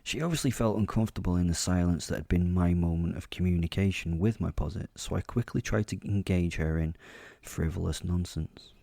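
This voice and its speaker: Male first-person narrator for dark fiction: very low, dark timbre, wry and confiding, close dry recording. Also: fairly quick, creaky, dry recording. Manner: wry, confiding, rambling asides. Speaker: narrator and host of a fiction podcast. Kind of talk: first-person horror drama narration, told in character.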